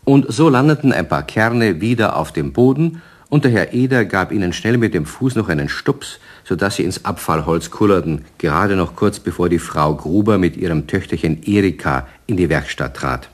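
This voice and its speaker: A man's voice: sits low, animated, moderate pace. A man narrating a children's radio play as a storyteller, reading scripted prose.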